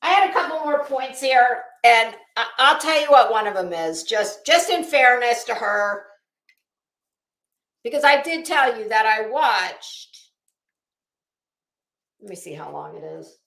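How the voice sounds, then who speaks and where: A woman's voice: fairly high pitch, animated, unhurried, long pauses, fairly bright tone. A woman hosting an online show with casual commentary, blunt, sarcastic and given to swearing. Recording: echoey room.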